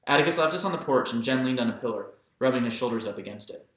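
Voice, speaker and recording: Guy reading a novel out loud all dramatic, bright voice, tons of room echo. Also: medium pitch, animated, quick pace. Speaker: amateur audiobook reader. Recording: very echoey room.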